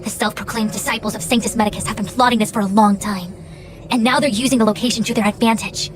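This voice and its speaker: An actress plays a haughty young woman diviner with a high voice, crisply formal and imperious. She speaks as a game character used to command and easily exasperated, over a clear music bed. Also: fairly even intonation, fast, close to an everyday voice. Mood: grave, brisk. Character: haughty, brisk young female master diviner, authoritative and exasperated. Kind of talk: scripted video game voice acting.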